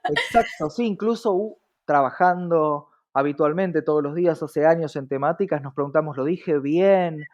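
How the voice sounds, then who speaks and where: A man's voice: fairly high pitch, normal speed, hardly any pauses, a little mellow. A man with a Rioplatense Argentine accent giving reflective, explanatory interview answers with earnest repetition and rhetorical questions. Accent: Rioplatense Argentine Spanish. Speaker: interview guest, diversity specialist. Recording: slightly dull, lo-fi sound.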